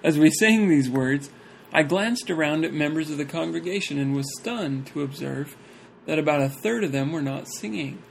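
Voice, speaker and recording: A man's voice: on the high side, expressive, normal speed, gravelly, hardly any pauses, slight background noise, low-quality recording. A man reading a church talk aloud and chatting casually about it, breaking into jokes and laughter between passages.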